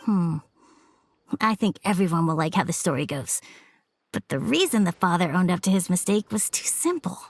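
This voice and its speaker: A woman's voice: fairly low pitch, lively intonation, normal speed. A woman voicing a thoughtful young boy storyteller in a video game, earnest and reflective.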